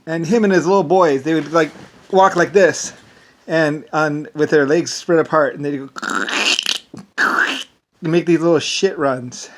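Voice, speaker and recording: An American man with an Irish-sounding lilt, talking in a rambling, meandering casual monologue. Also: on the high side. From United States. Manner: rambling, meandering, leans on "basically".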